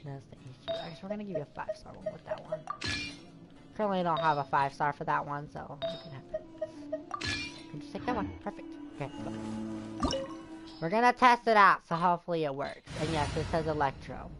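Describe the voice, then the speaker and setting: Female gamer, low voice, casual play-along commentary, loud game music behind. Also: animated, brisk. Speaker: video game streamer.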